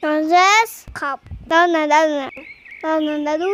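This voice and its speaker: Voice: high-pitched, unhurried, pushed and bright, slight background noise. A performer voicing a cheeky little granddaughter in a cartoon, coaxing and pleading. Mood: wheedling. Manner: coaxing, sing-song pleading. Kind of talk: cartoon voice acting.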